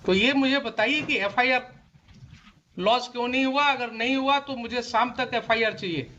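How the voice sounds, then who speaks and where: Indian man as presiding judge. Sharp, unusually high voice, admonishing, rhetorical, code-switching, over faint noise. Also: moderate pace. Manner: stern, admonishing, rhetorical questions; switches between Hindi and English. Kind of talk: courtroom questioning and oral directions. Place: courtroom. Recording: muffled courtroom audio, faint noise, clicks.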